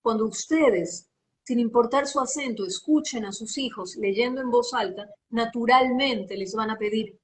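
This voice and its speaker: Woman, voice-over artist, slightly high voice with a light sing-song lilt, emphatic and teacherly, heard over a video call. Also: a little slow, poor audio. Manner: emphatic, teacherly, repeats phrases. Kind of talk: live interview, giving advice.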